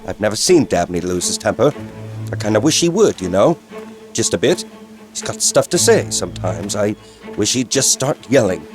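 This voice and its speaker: An actor playing a wry, grudgingly admiring arms company boss, talking casually in an interview. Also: male voice, on the low side, big pitch swings, moderate pace, over music. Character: grudgingly admiring weapons company executive man.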